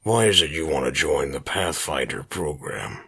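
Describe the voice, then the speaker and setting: Super deep husky space agency officer, formal, forceful, sci-fi radio play. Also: male voice, animated, moderate pace, heavily acted. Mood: formal, probing. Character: authoritative male space agency interview officer. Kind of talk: audio drama acting.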